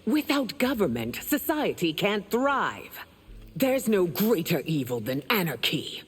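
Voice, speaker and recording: An actress playing a proud, stern middle-aged warrior empress in a video game, speaking formally and with regal command. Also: female voice, on the low side, big pitch swings, measured pace, breathy edge, full character voice. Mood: proud, defiant. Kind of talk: dramatic video game dialogue.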